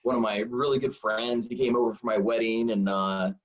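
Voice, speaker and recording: A man in his forties, a high school football coach, telling stories in a rambling, self-deprecating way full of fillers. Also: level tone, fairly quick. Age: 40s. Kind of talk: casual storytelling interview. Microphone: video call. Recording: dull lo-fi video call audio.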